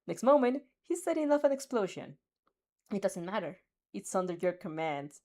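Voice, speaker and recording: A young woman reading a second-person fanfic aloud, expressive and giggling at her own slips. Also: mid-range voice, normal speed. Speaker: fanfic reader.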